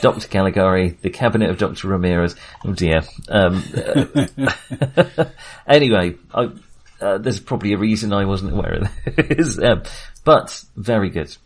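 A man's voice: lowish voice, animated, moderate pace. A man hosting a casual film discussion, talking in a hesitant, rambling and self-deprecating way. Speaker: film podcast host.

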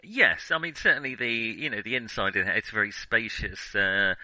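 A man co-hosting a film podcast, thinking aloud in a halting, digressive way as he casually reviews what he has watched. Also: lowish voice, pushed and bright, dry recording, low-quality recording.

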